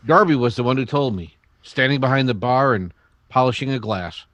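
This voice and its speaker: A man playing a reflective general-store keeper who narrates his memories in an old-time western radio drama, in halting phrases. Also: medium pitch, a bit bright, dry recording, close to an everyday voice.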